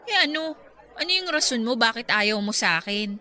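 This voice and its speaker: Lovelorn young woman, played by an actress, hopeful yet hurt, higher bright voice, expressive radio-drama delivery. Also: fairly quick, soft background music, a faint crowd. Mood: hurt, pleading. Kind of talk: Tagalog radio romance drama acting.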